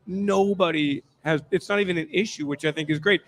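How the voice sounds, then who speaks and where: Male sports radio interviewer, somewhat high pitch, conversational delivery. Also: brisk. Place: live radio show at a casino.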